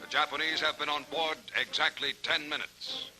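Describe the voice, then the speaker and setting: 1940s American newsreel announcer over loud music. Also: male voice, on the high side, level tone, moderate pace, low-quality recording. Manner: dramatic, formal announcer delivery. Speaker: newsreel narrator. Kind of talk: 1940s newsreel scripted narration.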